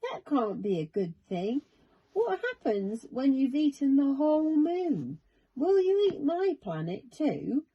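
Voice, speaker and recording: A woman acts out a small young boy from a children's storybook. He sounds curious and timid but earnest. The voice sits high and swings about expressively. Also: very animated, slow pace, lo-fi sound, full character voice. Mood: worried.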